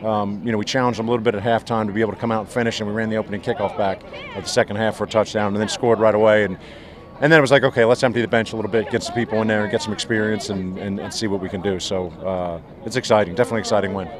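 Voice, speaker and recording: A middle-aged man, a head football coach, giving a postgame interview outdoors on the field, talking fast and restarting his sentences often. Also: lowish voice, steady tone, crowd noise. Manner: fast, rambling, many restarts. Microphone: handheld mic held by interviewer.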